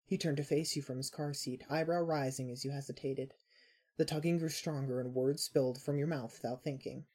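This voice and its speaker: A woman narrator reading scripted fiction in a husky voice. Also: a bass voice, steady tone, fairly quick, warm tone.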